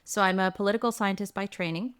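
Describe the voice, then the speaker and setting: A middle-aged woman from the United States, raised between France and Colombia, speaking as a coach and podcast guest in a casual interview. She is upbeat and animated and laughs easily. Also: mid-range voice, quick pace, clear, resonant tone.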